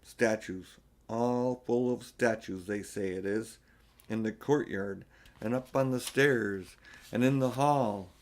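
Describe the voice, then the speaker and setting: A man's voice: on the low side, takes it slowly, darkish tone. A man voicing a male talking beaver in a storybook, an earnest, solemn woodland creature with a folksy, emphatic manner. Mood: gloomy. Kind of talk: storybook character voice.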